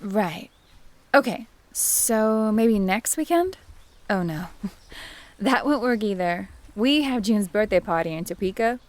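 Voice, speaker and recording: A woman's voice: mid-range voice, animated, takes it slowly, a little mellow. A woman playing an affectionate young student fiancée in an audio drama, with a conversational delivery. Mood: affectionate, teasing. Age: young adult.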